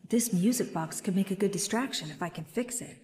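A woman voicing an earnest, resolute young heroine in a video game, with emotive, deliberate delivery. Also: mid-range voice, mellow, long reverb tail, natural voice. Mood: focused, cautious.

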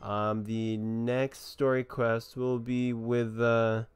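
A man's voice: mid-range voice, flat delivery, slow, smooth, no echo. A young man giving casual, rambling commentary as he plays through a video game. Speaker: gaming video host. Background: game music.

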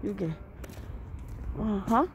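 A woman's voice: medium pitch, lively intonation, drawn-out words. A woman with a Filipino accent chatting casually as a vlogger, recorded outdoors on a handheld phone. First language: Tagalog. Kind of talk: casual walking vlog chat. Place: outdoors at a riverside camping area. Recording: outdoor handheld recording with clear background noise.

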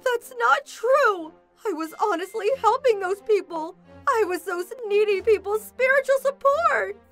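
A very high-voiced woman playing a crooked fortune teller in a manga drama. She sounds theatrical and self-righteous, with faint music underneath. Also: expressive, measured pace, fairly bright tone, full character voice. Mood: panicked protest. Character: scheming, self-righteous female fortune teller, defiant swindler. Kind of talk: dramatic manga dub acting.

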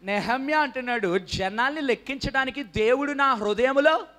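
A man in his thirties preaching a sermon from a church stage into a handheld microphone, animated and quizzing the congregation, sometimes rising to a shout. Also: extremely high pitch, moderate pace. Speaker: pastor.

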